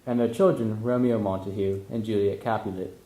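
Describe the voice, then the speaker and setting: Male narrator for comic puppet tale: mellow, mid-pitched, slangy scripted delivery, echoey room. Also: fairly even intonation, fairly quick.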